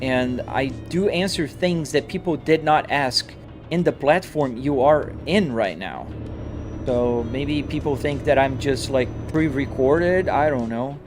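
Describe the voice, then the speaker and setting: A man's voice: mid-range voice, a little slow, music in the background. A man from Brazil with a Brazilian-American accent, streaming live from his home simulator rig and chatting casually with viewers, answering comments on the fly with frequent restarts. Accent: Brazilian Portuguese mixed with American English.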